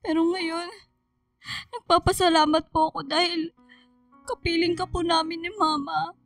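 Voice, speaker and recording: Woman acting a high-voiced, anxious young Filipina daughter. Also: level tone, normal speed, dry recording, faint music behind. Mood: tender, remorseful. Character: anxious young daughter Maria, loving and remorseful. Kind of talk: Filipino radio drama acting.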